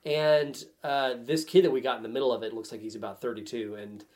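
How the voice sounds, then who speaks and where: A man with a mid-pitched voice talks through movies in a loose, comedic chat. He is sardonic and drops casual swear words as he goes. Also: fairly even intonation, a little fast, a little mellow. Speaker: podcast guest reviewing movie trailers.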